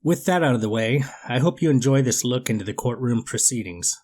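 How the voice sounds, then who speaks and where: A man's voice: medium pitch, expressive, brisk, darkish tone, a little hiss or hum. Man presenting a courtroom reading.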